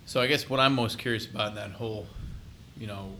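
A male co-host poses a curious, loosely phrased question to the guest. His voice is fairly low but bright and forward, and he circles with "you know" and "I mean" before landing it. A faint rumble hums beneath. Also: fast talker. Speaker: co-host interviewer. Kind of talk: podcast interview questions.

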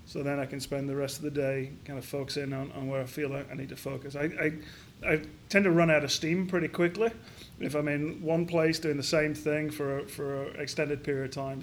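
A man in his fifties from Yorkshire, answering interview questions reflectively with frequent pauses, fillers and restarts. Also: medium pitch, slight background noise. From Yorkshire, England. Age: 50s. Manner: hesitant, many restarts and fillers. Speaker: business founder guest.

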